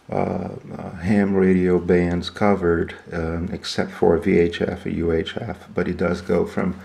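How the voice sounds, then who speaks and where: Very gravelly, low-voiced man demonstrating. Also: darkish tone. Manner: halting, explanatory. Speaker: product demonstrator. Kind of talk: unscripted hands-on equipment walkthrough.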